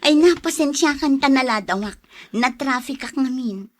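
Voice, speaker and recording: A woman acting a sharp-tongued, easily annoyed moneylender and wife in an Ilocano audio drama, exclaiming and complaining. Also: sits high, animated, moderate pace. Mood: friendly, businesslike.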